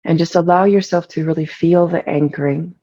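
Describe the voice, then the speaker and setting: A person leading a guided meditation in a soothing, unhurried way with long pauses, relaxed and gravelly with vocal fry. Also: medium pitch, dull audio.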